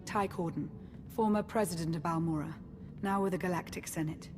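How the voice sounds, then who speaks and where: A woman's voice: fairly low pitch, fairly quick, over music, lightly acted. An actress playing a courteous, assured female adviser in a video game, speaking formally. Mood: composed, courteous.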